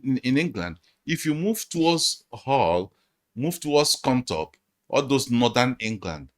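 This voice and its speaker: A man, a guest analyst in a conversational interview, explaining emphatically and repeating his phrases. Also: medium pitch.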